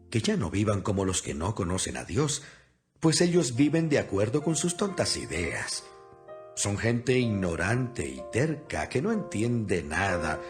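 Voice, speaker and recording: A man giving an earnest, instructive dramatized reading of scripture as an audio Bible narrator. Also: fairly low pitch. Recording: music behind the voice. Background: background music.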